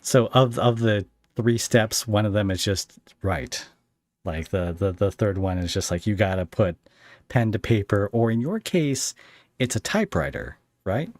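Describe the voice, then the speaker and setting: A man hosting a podcast, chatting casually with a guest in halting, searching phrases that often turn into questions. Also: lowish voice, expressive, dry recording. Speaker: podcast host interviewing a guest. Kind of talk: casual interview chat.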